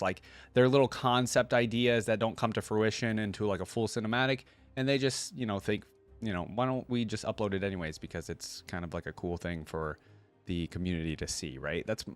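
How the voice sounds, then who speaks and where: A man's voice: lowish voice, no room sound. A man hosting a reaction video, giving informal, conversational commentary with rambling asides.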